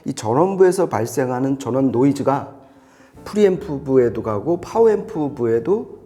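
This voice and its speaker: Bright, lively man explaining audio gear. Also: medium pitch, moderate pace. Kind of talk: conversational product explainer.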